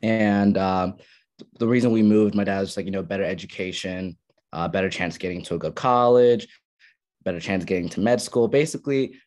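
A man's voice: fairly low pitch, darkish tone. A young man from Ethiopia, a podcast guest casually telling his story from his college dorm with many fillers. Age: young adult. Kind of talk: casual interview chat.

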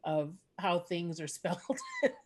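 A woman talks comedy on a video call, her voice sitting a little low. She laughs through her sentences and drifts between half-finished thoughts and fillers. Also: fairly even intonation, unhurried. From Canada. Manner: chatty, laughs often, trailing filler-heavy phrases. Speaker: stand-up comedian. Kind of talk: casual video-call chat.

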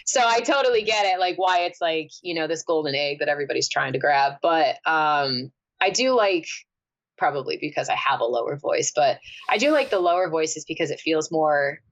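A woman, a singer, chatting casually on a podcast, telling animated stories and laughing often. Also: low-pitched, a little fast, lo-fi sound. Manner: animated storyteller, laughs often, many fillers.

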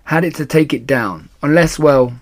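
A man's voice: a little high, normal speed, close to an everyday voice. Man playing an exasperated fire chief. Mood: baffled.

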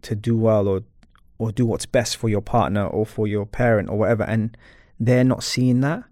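A man's voice: lowish voice, steady tone, normal speed, darkish tone, no room sound, studio quality. A man speaking as an interview guest, talking earnestly and reflectively about his personal life.

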